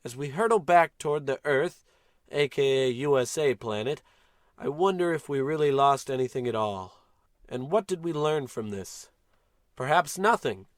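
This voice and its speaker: A man's voice: medium pitch, expressive, moderate pace, no room sound, clean studio sound, lightly acted. A man playing a pompous, blustering space captain in an old-time radio comedy, declaiming with great self-importance. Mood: solemn, grandiose.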